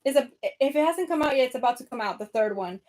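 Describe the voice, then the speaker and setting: A young woman with a Venezuelan accent talks to her viewers in a loose, rambling way, full of fillers and restarts. Her voice sits a bit high for a woman. She is at home, sorting books on her shelves as she talks. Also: expressive, fast talker, a little mellow. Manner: chatty, rambling, lots of fillers. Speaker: book vlogger. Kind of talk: casual chat with live viewers.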